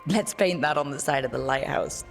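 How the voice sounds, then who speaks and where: A determined adventurer woman for a fantasy game. Her voice sits low, with a wry, matter-of-fact delivery. Music runs clearly beneath it. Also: moderate pace. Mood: light, wry. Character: capable adventurer heroine, wry, determined young woman leader. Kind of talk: fantasy video game acting.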